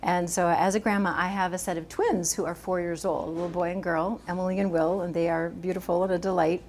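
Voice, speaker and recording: Soft-voiced woman in her sixties. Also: lowish voice, level tone, fairly quick, slight background noise. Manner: gentle, chatty, laughs often. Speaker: author giving a library talk. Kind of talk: informal public talk. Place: library meeting room.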